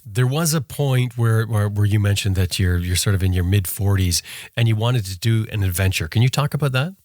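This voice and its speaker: A male podcast host with a fairly low voice asks questions and cuts in with short, eager reactions. His audio is dry and close. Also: lively intonation, brisk, a little mellow, no room sound. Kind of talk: casual interview conversation.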